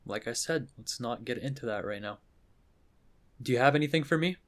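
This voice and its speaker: An actor playing a cool agent questioning a suspect in a radio drama, measured and needling. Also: male voice, mid-range voice, animated, close to an everyday voice. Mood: needling, controlled. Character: cool, probing male agent interrogating a suspect.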